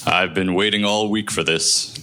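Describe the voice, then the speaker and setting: An exasperated middle-aged husband in a live radio play, acted by a man. His voice sits extremely low, and he argues in a wry, reasoning way. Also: fairly even intonation, normal speed, pushed and bright. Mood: patient, coaxing.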